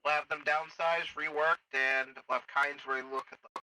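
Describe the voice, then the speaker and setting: A man improvising in-character roleplay over game voice chat, matter-of-fact, with a halting delivery. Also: fairly high pitch, steady tone, pushed and bright, far from the mic, a little hiss or hum, poor audio. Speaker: roleplayer acting as a Star Wars officer.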